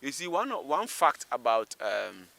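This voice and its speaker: Bright-voiced Ghanaian man presenting on location. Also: medium pitch, sing-song, unhurried, gravelly. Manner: explanatory, repeats and rephrases himself. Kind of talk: unscripted piece to camera. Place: outdoors at a small railway station.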